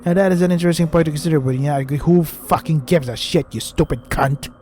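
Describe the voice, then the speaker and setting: Male gaming host, slightly high mellow voice, profane irritated read-aloud with stumbles, some background noise. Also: fairly quick. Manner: crude, profane, irritated, stumbling over words. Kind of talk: reading a visual novel aloud with ranting commentary.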